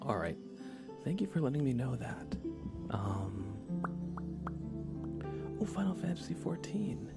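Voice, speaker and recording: A man doing a soft-spoken ASMR live stream, chatting to viewers in a low-key way and now and then slipping into whispers. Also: very animated, slow, vocal fry, long pauses. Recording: loud game music behind the voice. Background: video game music.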